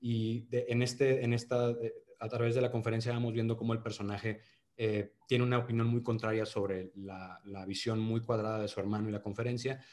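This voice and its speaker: A man's voice: mid-range voice, level tone, brisk, darkish tone. A man in his thirties with a Monterrey accent, chatting as a guest on a podcast, full of restarts and fillers. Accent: northern Mexican (Monterrey). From Monterrey, Mexico. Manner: many restarts and fillers, earnest then joking. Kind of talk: casual interview chat.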